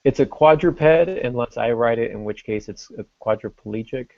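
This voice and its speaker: A man's voice: medium pitch, moderate pace, creaky, no echo, muffled recording. A man hosting a podcast, explaining casually and thinking aloud, with some joking along the way. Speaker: podcast host.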